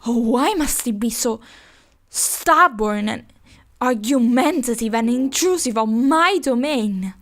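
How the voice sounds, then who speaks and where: A woman acts out an exasperated goddess who guards nature. Her voice sits on the high side, and she speaks formally and reproachfully, as in a theatrical audio drama. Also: lively intonation, slow. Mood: weary frustration.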